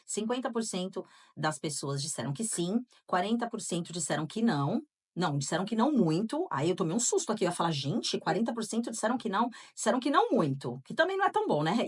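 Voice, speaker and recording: A woman's voice: medium pitch, slightly rough. A Brazilian woman co-hosting a casual podcast, chatting and reading out listener answers, with frequent tag questions. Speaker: podcast co-host.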